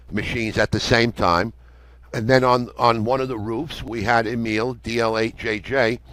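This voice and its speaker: A man's voice: mid-range voice, fairly bright tone, no room sound, rough recording. An older American man telling a story conversationally in a matter-of-fact, detailed way as an interview guest. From United States. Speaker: interview guest, amateur radio operator.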